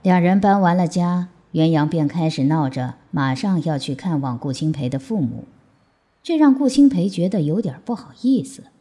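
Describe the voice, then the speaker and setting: Low-pitched woman narrating a drama. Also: expressive, normal speed, warm tone. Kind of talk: scripted narration of an audio drama. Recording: music behind narration.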